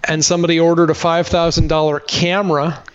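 A man's voice: on the high side, flat delivery, a little fast, crowd noise. A man co-hosting a podcast, chatting casually about tech in a rambling, conversational way over an internet call. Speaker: podcast co-host. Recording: dull lo-fi online call audio.

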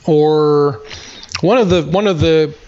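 A man's voice: a little high, takes it slowly, a little mellow. A man co-hosting a podcast, chatting casually about tech in a rambling, conversational way over an internet call. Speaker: podcast co-host. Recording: dull lo-fi online call audio.

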